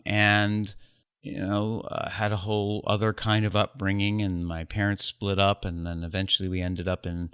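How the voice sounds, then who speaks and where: A man from the United States, a guest photographer in a casual interview, speaking reflectively with wry, self-deprecating humor and pauses filled with ums. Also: lowish voice, level tone, a bit bright, clear, resonant tone.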